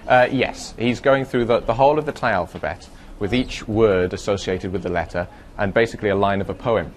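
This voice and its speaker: A man's voice: lowish voice, fairly quick. A man interviewed in a studio as a guest, chatty, a little hesitant and wry. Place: broadcast studio.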